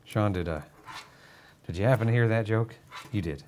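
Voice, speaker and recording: A man's voice: lowish voice, moderate pace, darkish tone, a little hiss or hum. Man building a PC, dry teasing humor. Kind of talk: casual live-stream banter.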